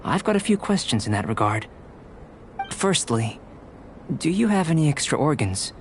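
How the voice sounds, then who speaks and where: A man voicing a calm, curious young male alchemist in a video game, with precise, academic and politely detached delivery. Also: fairly high pitch, a little hiss or hum. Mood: curious, explaining. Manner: measured, precise, academic phrasing.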